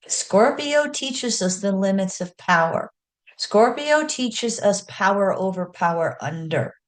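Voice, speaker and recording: A woman's voice: mid-range voice, animated, slow. A middle-aged woman teaching astrology in an earnest, folksy way with self-deprecating asides. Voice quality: loud speaking voice. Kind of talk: teaching astrology talk with slides.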